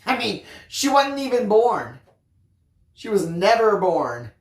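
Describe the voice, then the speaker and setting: A woman reading a first-person story aloud in an expressive, dramatic narrating style. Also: mid-range voice, slow, reverberant. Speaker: audiobook reader.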